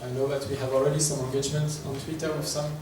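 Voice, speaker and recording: A man's voice: medium pitch, flat delivery, very fast. A man with a French accent giving a workshop presentation in English, hesitant and full of filler words, asking the audience questions. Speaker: workshop speaker. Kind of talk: conference presentation. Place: large echoey conference room. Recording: echoey distant room recording with noise.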